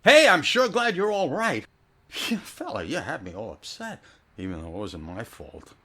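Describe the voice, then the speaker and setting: A man's voice: medium pitch, wildly swooping pitch, moderate pace, bright, forceful tone, husky, exaggerated voice. A man playing an agitated, slangy male driver in old time radio drama style, blustering and relieved. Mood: relieved, flustered.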